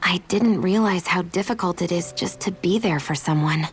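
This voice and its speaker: A woman's voice: on the low side, moderate pace, soft background music, lightly acted. An actress playing a thoughtful, candid young woman in a fantasy video game, speaking in an earnest, reflective way. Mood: tender, hesitant. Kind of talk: video game cutscene acting.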